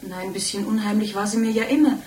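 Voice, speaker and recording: An actress playing an uneasy, chatty witness in a 1960s German radio play, recounting anxiously in a colloquial way, in an echoey, distant recording. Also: female voice, mid-range voice, quick pace, darkish tone, natural voice. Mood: uneasy, agitated. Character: uneasy, chatty ordinary woman giving a witness account.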